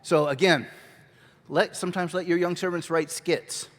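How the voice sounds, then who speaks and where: A man teaching a children's ministry workshop at a conference, talking energetically and conversationally on a handheld mic. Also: a little high. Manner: energetic, conversational, quick asides. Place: large church hall. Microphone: handheld microphone. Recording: long hall reverb.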